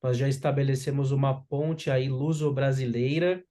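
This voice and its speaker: A man's voice: medium pitch, fairly even intonation, moderate pace, dark tone. Male host with a mid-range voice. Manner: friendly, with filler words. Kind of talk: interview introduction.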